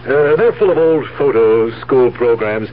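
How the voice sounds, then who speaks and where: An actor playing a greedy, calculating nephew who feigns grief in a 1970s radio drama. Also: male voice, mid-range voice, expressive, a little slow, natural voice. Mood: feigned grief. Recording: noisy, muffled 1970s radio recording.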